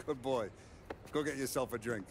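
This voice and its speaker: An actor playing a pleased, paternal, commanding mob boss in a video game cutscene. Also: male voice, mid-range voice, slow, lightly acted. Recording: faint outdoor yard noise.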